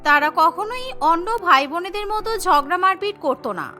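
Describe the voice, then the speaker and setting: A woman's voice: extremely high pitch, brisk, bright, forceful tone, faint music behind. A woman narrating a scripted cartoon story as a storyteller.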